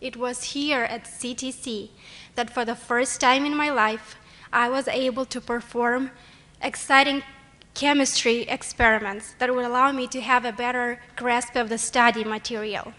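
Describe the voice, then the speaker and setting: A young woman delivers a prepared speech from a podium. Her voice sits a little high and rings bright and crisp. She reads carefully in a formal, earnest way into the microphone. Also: steady tone, normal speed. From Moldova. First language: Romanian. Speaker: award nominee. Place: luncheon hall.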